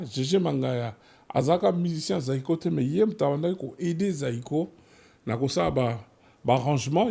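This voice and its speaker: A man's voice: a little high, creaky, warm tone, breathy edge. A man with a Congolese accent from Kinshasa presents and tells stories conversationally as a programme host, moving back and forth between two languages. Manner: switches between French and Lingala. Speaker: host of a music history programme.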